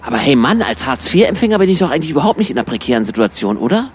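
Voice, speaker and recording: A man's voice: mid-range voice, big pitch swings, racing, husky. A man playing a cheeky, argumentative, insistent jobless guy in casual bantering radio-play dialogue.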